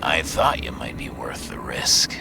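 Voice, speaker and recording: Slow, gravelly, taunting game schemer. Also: male voice, very deep voice, big pitch swings, exaggerated voice. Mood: cool, probing. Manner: slow, teasing, often half-whispered. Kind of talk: noir game voice acting.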